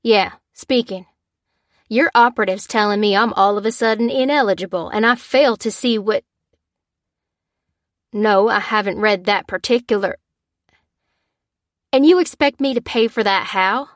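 A woman voicing a gruff, angry father in an audiobook, growling and barking with sarcastic exasperation. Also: mid-range voice, moderate pace, fairly bright tone, no room sound, clean studio sound, close to an everyday voice. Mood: irate, sarcastic. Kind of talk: audiobook character dialogue.

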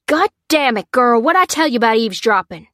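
A woman voicing a gruff, angry father in an audiobook, growling and barking with sarcastic exasperation. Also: mid-range voice, normal speed, a bit bright, no room sound, clean studio sound, lightly acted. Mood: angry scolding. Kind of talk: audiobook character dialogue.

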